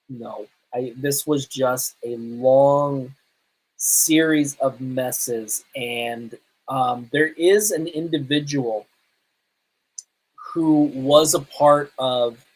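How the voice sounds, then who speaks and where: Podcast host, medium pitch, casual opinionated fan commentary on films. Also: poor audio. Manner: opinionated self-confessed fan. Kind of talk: casual movie review commentary.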